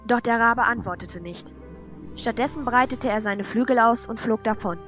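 A woman's voice: a little high, moderate pace, lo-fi sound. A woman reading scripted narration for a fantasy audio drama. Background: background music.

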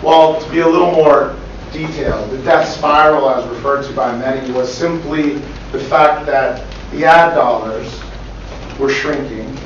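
A middle-aged man with a loud voice giving a business presentation, with frequent ums, heard from across a conference room. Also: mid-range voice, a little slow, a little hiss or hum. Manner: loud, says so himself, with many ums. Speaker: startup co-founder and guest speaker. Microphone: far room camera mic. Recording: echoey room from far off, dull lo-fi.

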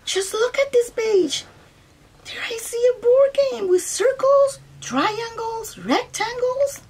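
A woman's voice: extremely high pitch, expressive, slow pace. A woman co-hosting a read-aloud for young children, exclaiming and explaining things simply.

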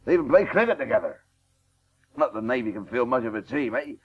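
An actor playing an ageing, proud and hearty celebrated cricketer in a radio drama. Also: male voice, medium pitch, expressive, fast, husky. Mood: jovial. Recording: dull, restored 1980s radio tape.

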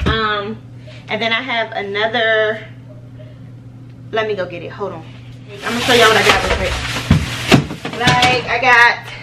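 A woman's voice: a little high, bright, forceful tone. An American woman in her thirties talking informally and chattily to the camera as a home vlogger. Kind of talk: casual chat to camera. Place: small home office room, indoors. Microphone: camera a few feet away. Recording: distant camera mic with background noise.

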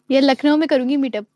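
A woman's voice: sits high, a little mellow, no echo. A young woman chatting playfully on a home live stream, reading out comments and giggling often. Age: young adult. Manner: giggly, playful, switches into English. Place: indoors at home, small room. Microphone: wired earphone mic on a phone.

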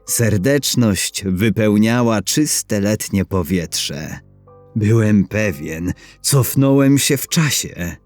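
Low-voiced male narrator, expressive and unhurried. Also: soft background music. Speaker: audiobook narrator. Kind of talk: scripted first-person short story narration.

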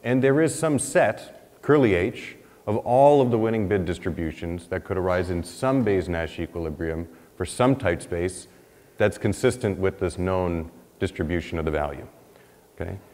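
A man in his thirties presents research at a podium, his fairly low voice ringing with the long reverb of a big lecture hall. He thinks aloud, restarting phrases and rising in pitch when he stresses a point. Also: normal speed, darkish tone. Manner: thinking aloud, many restarts and "you know"s, rising for emphasis. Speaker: economics researcher presenting a paper. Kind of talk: conference lecture with slides.

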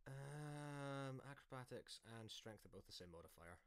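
A man's voice: mid-range voice, animated, measured pace, no echo. A man chatting casually as a player in an online tabletop roleplaying game.

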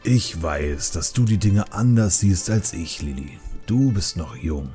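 A man playing a calm, patient older panda brewmaster uncle in a dramatised fantasy audiobook, speaking gently. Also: low voice, measured pace, faint music behind. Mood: patient, soothing.

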